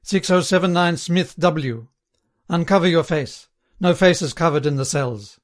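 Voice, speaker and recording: A harsh male guard, as a narrator puts him on, barking orders in a raised pitch. His delivery is clipped and commanding, like an authority figure in an audiobook novel. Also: measured pace, lightly acted. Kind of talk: audiobook character dialogue.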